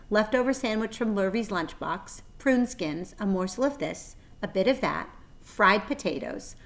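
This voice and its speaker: A woman in her forties reads a children's book aloud. Her delivery is expressive, with a medium-pitched storyteller's voice. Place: small plain room indoors.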